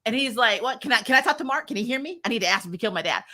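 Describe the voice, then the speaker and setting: A woman giving a casual, opinionated review in an animated, sweary way, acting out bits of mock dialogue. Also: on the high side, rapid-fire, very noisy. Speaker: host of a TV series review show.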